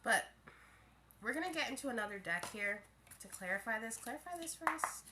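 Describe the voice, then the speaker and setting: A woman's voice: on the high side, animated, brisk, heavy background noise. A woman giving a casual tarot reading to her viewers, a little stuffy from a head cold, musing aloud and often restarting her phrases. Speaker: tarot reader.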